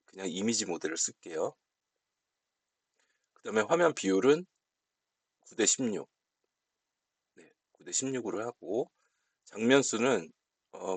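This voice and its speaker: A man with a lowish, gravelly voice walks through a software tutorial step by step. His delivery is polite and explanatory, with lively swings in pitch. It is unscripted instruction from someone showing how things are set up. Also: no room sound.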